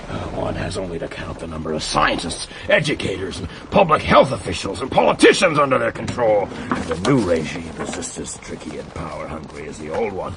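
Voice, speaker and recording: An actor playing a paranoid, ranting American military commander with a gravelly voice in a satirical radio play. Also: male voice. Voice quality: gravelly, creaky. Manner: swings from hushed menace to shrill ranting. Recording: noisy radio drama recording.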